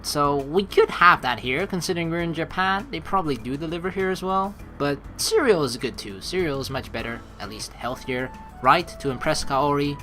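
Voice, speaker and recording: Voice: mid-range voice, animated, normal speed, hardly any pauses, bright, forceful tone. A let's play host from the Netherlands, reading a visual novel aloud and adding casual commentary. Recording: game music behind the voice. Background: game music.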